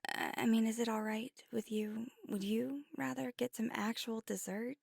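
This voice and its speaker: A woman playing a flirty, teasing, affectionate vampire on a date in an intimate ASMR roleplay, speaking softly and often dropping to a whisper. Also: a little high, fairly even intonation, creaky, no echo, clean studio sound. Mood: warm, flirty. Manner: playful, teasing, slow and intimate. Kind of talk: ASMR roleplay, intimate one-sided dialogue.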